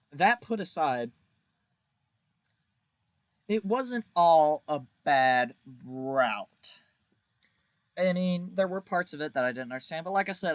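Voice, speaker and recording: A man's voice: fairly high pitch, no room sound. A man giving a casual, rambling game review in a blunt, jokey, informal way, leaning on words for emphasis. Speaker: video creator reviewing a visual novel.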